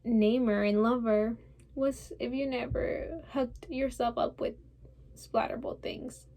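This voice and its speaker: A stubborn, wounded young woman played by a woman in an intense stage monologue. Her voice sits fairly high with a strong creak, and she rambles insistently, piling up lists and defending herself. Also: fairly even intonation, unhurried, dark tone. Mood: pained, insistent.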